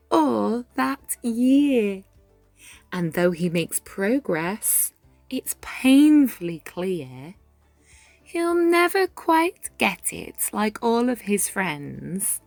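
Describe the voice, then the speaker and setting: Lady doing a kids story read-aloud, super slow and really expressive, faint music behind her. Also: medium pitch, no room sound. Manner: measured, dramatic phrasing with deliberate pauses. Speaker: storyteller reading a picture book aloud. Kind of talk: expressive children's read-aloud narration in rhyme.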